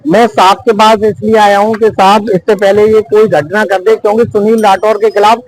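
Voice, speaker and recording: A man slowly recounting a complaint to a reporter, pausing with fillers. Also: high-pitched, flat delivery, slight background noise, poor audio. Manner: slow, deliberate, with pauses and fillers.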